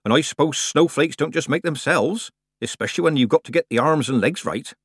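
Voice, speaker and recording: A man's voice: mid-range voice, big pitch swings, a little fast, dry recording, clean studio sound, pitched above the performer's own voice. A man voicing an earthy, shameless old country witch woman in an audiobook, teasing and folksy with dropped consonants. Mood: teasing, gleeful. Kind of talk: audiobook character voice.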